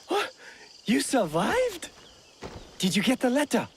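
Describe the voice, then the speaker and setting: An actor playing an earnest young man in a video game cutscene, speaking haltingly and stumbling over his words. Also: very high voice, huge pitch swings, heavy background noise, full character voice. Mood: surprised, eager.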